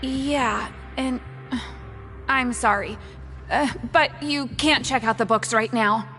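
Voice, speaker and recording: A woman's voice: a little high, slow pace, in a large hall, over music. An actress playing a timid, evasive female librarian who answers hesitantly and apologizes.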